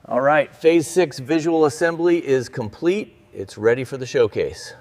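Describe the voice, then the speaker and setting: A man's voice: medium pitch, moderate pace, a little mellow. A man giving scripted process commentary in terse, approving remarks. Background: background music.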